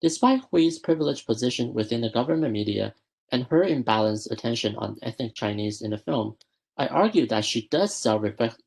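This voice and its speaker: A young man presenting a prepared academic paper at a student research school, reading in a measured way and often correcting himself mid-word. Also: medium pitch, creaky, a little mellow, husky. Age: young adult. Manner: measured, with frequent self-corrections.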